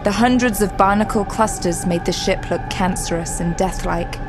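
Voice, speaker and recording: A woman's voice: medium pitch, moderate pace. A woman delivering scripted dramatic narration as the narrator of an audio drama. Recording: music behind the voice.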